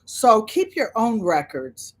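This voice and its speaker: A woman hosting a casual livestream chat in an unhurried, rambling way, drifting between her own talk and replies to viewers. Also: fairly high pitch, very animated, rough recording. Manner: unhurried, rambling, easily sidetracked. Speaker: livestream host, quilter.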